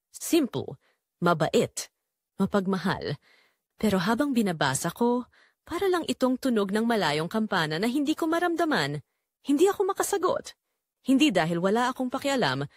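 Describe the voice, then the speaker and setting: A Filipino-accented woman narrates a scripted drama in the first person. Her delivery is confessional and emotive, with animated pitch movement around a medium register. The recording is dry and close. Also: dry recording.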